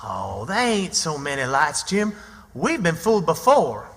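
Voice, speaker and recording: An earnest Southern country boy in a radio play. The voice is high and swings widely in pitch, with folksy, unschooled phrasing. It rings in a long reverb with music behind. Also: wildly swooping pitch, unhurried, gravelly, fairly bright tone, full character voice. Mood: excited. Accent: American Southern. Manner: rustic, folksy, ungrammatical speech. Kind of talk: radio drama acting.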